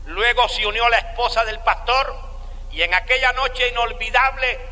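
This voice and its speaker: A man with a Cuban accent giving a slow, deliberate sermon testimony with dramatic pauses. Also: extremely high pitch, bright, forceful tone, long reverb tail. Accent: Cuban Spanish. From Cuba.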